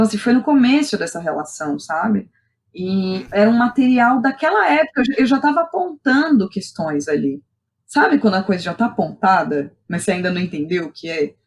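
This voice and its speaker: A young Brazilian woman speaking as a podcast guest, telling personal stories in an animated, colloquial way and often checking in with the listener. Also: medium pitch, a little hiss or hum. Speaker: guest, playwright and theatre director. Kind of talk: casual personal storytelling in a podcast interview.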